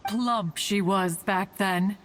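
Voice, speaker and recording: A woman's voice: medium pitch, takes it slowly, heavy background noise, close to an everyday voice. A woman voicing a stately, talkative ancient immortal in an anime game, with lofty, archaic formality. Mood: fond, reminiscing. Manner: lofty, archaic, formal, refers to self as "one". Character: stately ancient female immortal bird spirit, proud, talkative, motherly. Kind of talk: anime game character acting. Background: background music.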